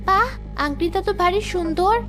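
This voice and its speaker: Cartoon village girl voiced by a woman: youthful, very high voice, pleading manner, clear music bed. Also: moderate pace, fairly bright tone. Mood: delighted. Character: young village girl, wary, sweet, easily tempted. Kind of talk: children's cartoon voice acting.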